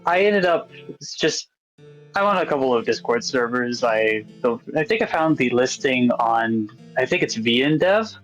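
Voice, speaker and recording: A man's voice: medium pitch, sing-song, normal speed, a bit bright, muffled recording, soft background music. A man being interviewed as a new voice actor and artist, chatting in an easygoing, slightly hesitant way. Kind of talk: casual chat, recounting how they got involved.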